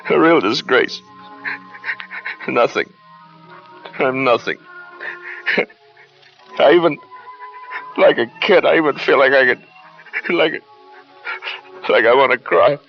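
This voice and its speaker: A man in 1940s radio drama playing a shaken young man who talks weakly in broken, halting phrases. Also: mid-range voice, vocal fry. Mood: despairing. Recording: dull old radio broadcast with music.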